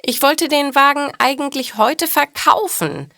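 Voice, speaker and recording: A woman's voice: high voice, unhurried, sharp tone, no room sound, studio quality, pitched above the performer's own voice, exaggerated voice. A woman voicing a hapless male driver in a storybook, in exclaiming read-aloud dialogue. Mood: plain, rueful.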